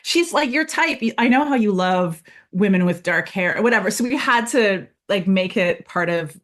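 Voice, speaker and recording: A woman's voice: medium pitch, animated, normal speed, fairly bright tone. A woman guest, a co-writer, chatting casually in an interview, full of fillers and acted-out quotes. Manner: chatty, many fillers, acts out quoted lines.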